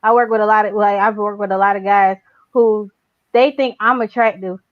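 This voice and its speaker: A woman in casual conversation who talks in long, rambling stretches full of fillers and pushes her naturally quiet voice louder. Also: mid-range voice, steady tone, a little fast, no room sound, muffled recording. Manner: says her natural voice is very quiet but trains herself to speak louder. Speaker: guest. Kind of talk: casual chat.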